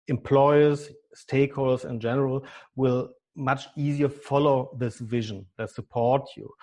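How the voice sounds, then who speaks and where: A husky, slightly breathy male voice with a German accent. He is a middle-aged consultant giving a podcast interview, and he talks haltingly, often restarting and repeating words as he searches for the English phrasing. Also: medium pitch, a little mellow. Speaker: business consultant and coach.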